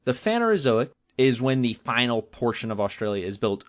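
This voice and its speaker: A man co-hosting a podcast, explaining natural history in a casual, informal and jokey way with drawn-out emphasis on key terms. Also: medium pitch, sing-song. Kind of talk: casual explanatory talk with a co-host.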